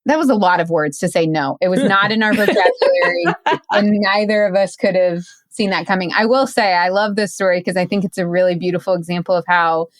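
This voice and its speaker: A woman's voice: lowish voice, a little fast, few pauses, no echo, studio quality. A woman in her thirties chatting casually as an interview guest, with an emphatic way of talking. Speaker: podcast guest, entrepreneur. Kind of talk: casual chat, storytelling.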